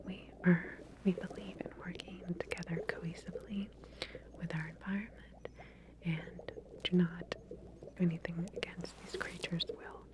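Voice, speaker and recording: Female ASMR performer as lab researcher: hushed whisper, lowish register, gentle clinical guidance. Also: steady tone, moderate pace. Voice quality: breathy, unvoiced whisper. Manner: soft, reassuring, gently instructing. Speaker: ASMR roleplayer playing a lab researcher giving a medical exam. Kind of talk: whispered ASMR medical roleplay.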